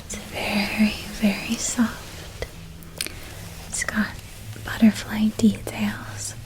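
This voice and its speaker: A nurturing witch, played by a woman, speaks entirely in a soft, breathy whisper. She takes her time, doting and unhurried, lingering on each small detail. It is intimate ASMR roleplay, gentle from start to end. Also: medium pitch, completely flat delivery, poor audio. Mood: gentle, caring. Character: gentle, nurturing witch helping a young student pack.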